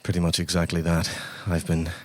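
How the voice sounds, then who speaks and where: A man's voice: low voice, completely flat delivery, fairly quick, voice pushed down, close to an everyday voice. A man voicing a rugged, suave wild man of the mountains in an audio role-playing game. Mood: weary, low.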